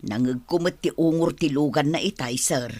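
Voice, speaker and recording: A woman's voice: low-pitched, moderate pace, a little mellow, no room sound. A senior housekeeper in a radio play. She is strict but respectful to her employers. The voice is recorded very dry. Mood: deferential. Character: older female head housekeeper, strict, respectful to masters. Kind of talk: Ilocano radio comedy-drama acting.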